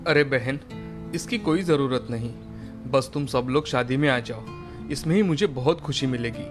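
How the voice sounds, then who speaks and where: A man's voice: mid-range voice, moderate pace, over music, close to an everyday voice. A man voicing a poor, earnest and humble village father in a cartoon story. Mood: humble, grateful. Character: poor, worried, grateful village father and brother.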